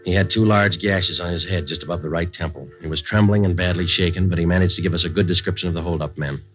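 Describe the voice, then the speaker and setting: A man's voice: deep voice, steady tone, fast, a bit bright, low-quality recording. An American man playing a businesslike police detective and narrator in a 1940s radio crime drama, clipped and matter-of-fact. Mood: flat, factual. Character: brisk, businesslike male police detective sergeant who narrates.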